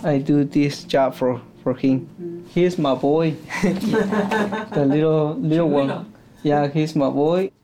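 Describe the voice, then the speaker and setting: A man from Oaxaca, Mexico, whose first language is Triqui, speaking with a Mexican Spanish accent as a community worker giving an interview in halting, simple phrases. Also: a little high.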